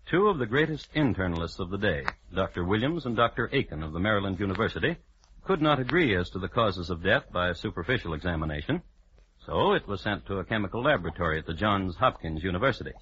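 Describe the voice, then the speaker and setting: A man's voice: low-pitched, sing-song, fast, fairly bright tone. A man narrating an old-time radio crime drama with formal diction and dry irony. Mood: dry, ironic. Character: formal, drily ironic narrator of a true-crime story. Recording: muffled, noisy old radio recording.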